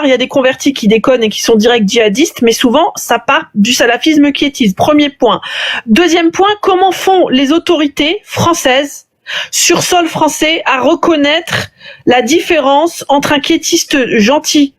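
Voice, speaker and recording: High-voiced woman hosting a live debate. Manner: emphatic, interrupts, animated. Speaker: live stream host. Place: online live stream call.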